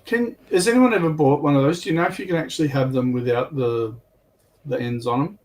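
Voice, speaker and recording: A man's voice: fairly high pitch, fairly quick, darkish tone. A man chatting casually as a panelist. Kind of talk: casual hobby chat. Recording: distant from the microphone.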